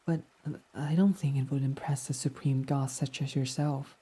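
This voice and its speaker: A man voicing a devoted, submissive fox boy servant in an intimate ASMR roleplay, reverent, hesitant and breathy. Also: medium pitch, steady tone, normal speed, lightly acted. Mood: meek, reverent. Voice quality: soft, breathy. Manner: reverent, self-deprecating, hesitant, breathy half-whispers.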